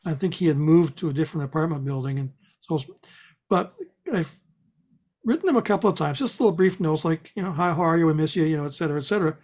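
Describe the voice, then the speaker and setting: A man with a somewhat high-pitched voice chats casually. He rambles mildly, pausing and hesitating as he goes. The sound is dull and lo-fi. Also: a little mellow. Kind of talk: casual chat. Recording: dull, lo-fi audio.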